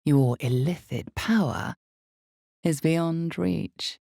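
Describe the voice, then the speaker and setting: A woman voicing the omniscient narrator of a dark fantasy game, grave and ominous, with measured delivery and dramatic pauses. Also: animated, no echo, studio quality, full character voice. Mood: grave, foreboding. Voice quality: creaky, low, mellow. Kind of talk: dramatic scripted second-person fantasy narration.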